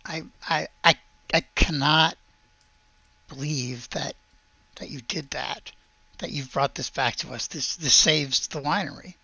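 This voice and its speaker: A man voicing an earnest, pleasant vineyard man who speaks haltingly in a tabletop game. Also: on the high side, gravelly, sharp tone, dry recording, highs cut off, exaggerated voice. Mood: overjoyed, halting. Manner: hesitant, halting speech.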